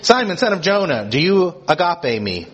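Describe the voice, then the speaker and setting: Jesus asks a disciple a steady, earnest question, read aloud by a preacher. The voice lifts a bit in pitch and sounds serious. It is repeated with quiet insistence. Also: male voice, moderate pace.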